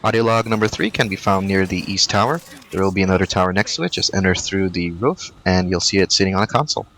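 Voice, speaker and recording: A man narrating a game walkthrough voice-over, rattling off directions at a fast clip. His voice sits fairly low but sounds bright and forward, and he stays brisk and matter-of-fact. A faint noise sits underneath. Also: steady tone, low-quality recording.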